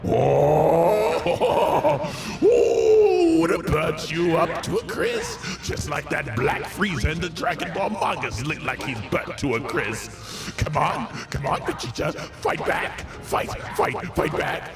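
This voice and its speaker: Man voicing a gravelly, gloating giant ape villain. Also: a little high, big pitch swings, slow pace, echoey room, voice pushed up, heavily acted. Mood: mocking. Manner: theatrical taunting with cackles and shouts. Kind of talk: improvised in-character game commentary. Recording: music behind.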